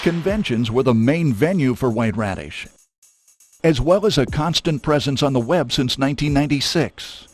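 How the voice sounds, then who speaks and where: A man's voice: mid-range voice, animated, normal speed, darkish tone, a little hiss or hum. A man reading scripted documentary narration. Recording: music under narration.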